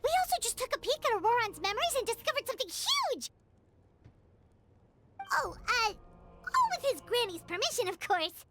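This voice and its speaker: A woman voicing a small, excitable, childlike fairy companion in a video game, squeaky, chatty and dramatic. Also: normal speed, pauses often, music in the background, full character voice. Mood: excited. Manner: exclamatory, eager. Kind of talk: animated game voice acting.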